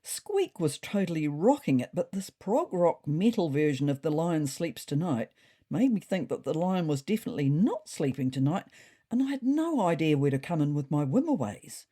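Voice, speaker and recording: Woman acting male storybook cat, wry, self-important, mock-serious, animated pitch, slightly husky. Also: moderate pace. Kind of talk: comic diary storytelling.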